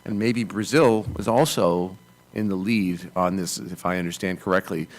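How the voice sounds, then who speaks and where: A man takes the audience mic at a panel session and lays out his argument fluently. His voice sits a little low. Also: fairly even intonation, normal speed. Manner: fluent and argumentative, building a case. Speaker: conference audience member. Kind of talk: question from the floor at a panel.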